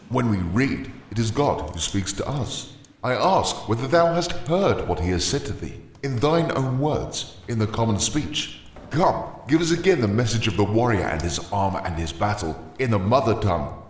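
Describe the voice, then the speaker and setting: Man acting solemn, deep-voiced missionary priest, echoing. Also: very animated. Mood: gentle, probing. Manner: solemn, archaic, measured oratory. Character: gentle, earnest missionary priest, saint of old England. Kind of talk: audio drama acting. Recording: roomy echo, music behind.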